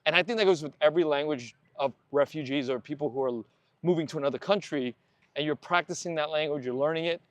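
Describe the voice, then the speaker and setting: Easygoing guest in his forties, talky and repetitive, a touch high-pitched. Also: fast talker, dry recording. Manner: chatty, repeats words, leans on fillers. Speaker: podcast host, guest. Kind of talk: casual conversation while walking. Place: outdoors on a walk.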